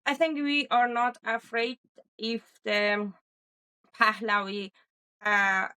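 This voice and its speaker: A woman from Iran with an Iranian accent and Persian as her first language, answering in an interview, haltingly searching for words. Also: fairly high pitch, flat delivery, pushed and bright, clean tone. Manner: halting, searching for words in non-native English. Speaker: interview guest giving a first-hand account.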